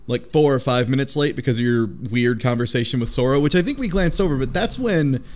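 A man's voice: medium pitch, brisk. A man in a casual group chat, recapping a story in a rambling way and acting out the dialogue as he goes. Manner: rambling, animated retelling with acted-out quotes. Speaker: podcast panelist.

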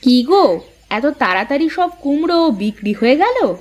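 A woman's voice: sits high, sing-song, normal speed, dull audio. A woman playing an outspoken village housewife in a cartoon, exclamatory and nagging in rustic everyday speech. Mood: curious. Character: outspoken village housewife, scolding yet easily amazed. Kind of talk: cartoon moral-story voice acting.

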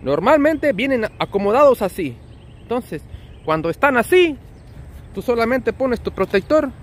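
A man's voice: very high-pitched, sing-song, normal speed. A man with a Mexican accent explaining things in a casual, colloquial, friendly vlog style, as a trucker would. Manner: friendly, colloquial, with diminutives and slang. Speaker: trucker vlogger. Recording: outdoor ambient and vehicle noise.

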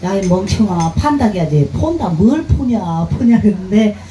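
A woman's voice: mid-range voice, expressive, moderate pace, dark tone, a little hiss or hum. A middle-aged woman from Korea's Jeolla region with a strong Jeolla accent, a festival performer chatting and teasing between songs on a handheld mic. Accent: Jeolla Korean dialect. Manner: chatty, teasing, earthy, bantering with audience. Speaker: pumba street performer and singer. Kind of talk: live stage banter between songs. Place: outdoor festival stage with loudspeakers. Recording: echoey outdoor PA sound with music.

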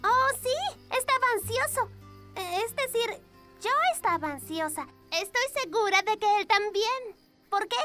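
A woman's voice: extremely high pitch, lively intonation, a little slow, bright, forceful tone, soft background music, full character voice. A woman voicing an eager, earnest little girl in a children's cartoon dub. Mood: eager, flustered.

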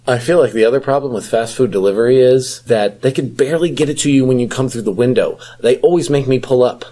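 A man co-hosting a podcast in casual chat, with a slightly husky voice and a questioning, conversational way of talking. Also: medium pitch, quick pace, warm tone. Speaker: podcast co-host.

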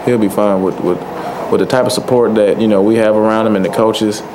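Laid-back young man, low flat voice. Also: brisk. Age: young adult. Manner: laid-back, soft-spoken, many fillers. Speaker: college football player. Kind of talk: postgame press conference answers. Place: press conference room. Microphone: table microphone. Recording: noisy press room audio.

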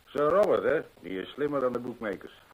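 An actor playing a talkative, anxious horse trainer in a Dutch radio drama, with a husky, gossipy way of telling things. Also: male voice, medium pitch, lively intonation, fairly quick, dull audio. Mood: worried, confiding. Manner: gossipy, emphatic storytelling.

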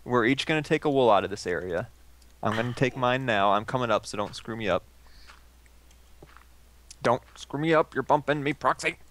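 A man chatting casually while playing a game, teasing and quick to laugh, on a headset mic. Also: mid-range voice, lively intonation, a bit bright. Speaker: let's play co-host. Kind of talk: casual gaming chat.

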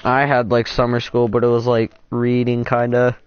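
A deep-voiced teenage boy chatting casually with a friend while playing a game, laid-back and swearing a lot. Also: monotone, moderate pace, clear, resonant tone, dry recording, highs cut off. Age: teen. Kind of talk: casual gaming chat.

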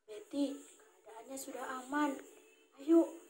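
A woman voicing a calm, caring monkey puppet friend in a children's story, speaking in a patient, soothing way. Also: high voice, slow pace.

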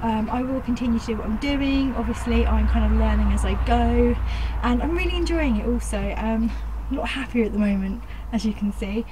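A woman's voice: fairly high pitch, brisk. A woman in her thirties with a southern English accent, chatting casually and enthusiastically in an informal vlog, recorded outdoors on a handheld camera. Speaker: gardening vlogger. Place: outdoors on an allotment, partly in a shed. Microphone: handheld camera at arm's length. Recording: clear outdoor background noise.

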